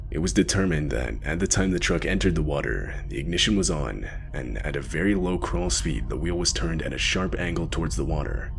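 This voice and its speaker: A man's voice: a bass voice, gravelly. A man giving scripted, documentary-style narration of a true crime mystery.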